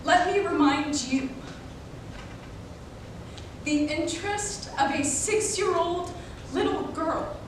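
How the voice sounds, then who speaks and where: A young woman performs a dramatic monologue with slow, emphatic phrasing. Her voice is high. She is picked up from far off in a very echoey room. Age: young adult. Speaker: college speech competitor. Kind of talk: dramatic readers theater monologue. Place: indoor performance room, recorded from afar. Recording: very echoey room, distant mic, noisy.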